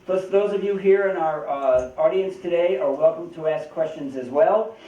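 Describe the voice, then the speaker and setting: Male moderator, higher voice, hesitant announcer, roomy distant sound. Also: moderate pace, mellow, dull audio. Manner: housekeeping announcements, friendly, hesitant with fillers. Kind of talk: event moderation.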